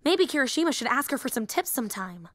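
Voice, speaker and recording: A woman's voice: high-pitched, sing-song, fast talker, fairly bright tone, no echo, studio quality. A woman voicing a young, nerdy female hero fan and teacher in an audio roleplay, eager, rambling and easily flustered. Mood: earnest, rambling.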